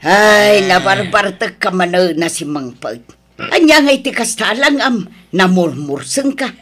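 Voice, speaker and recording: A scolding but protective mother in a comedic radio drama. Her voice is bright and forceful, with an Ilocano Filipino accent. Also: mid-range voice, lively intonation, a little slow. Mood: scolding, defensive.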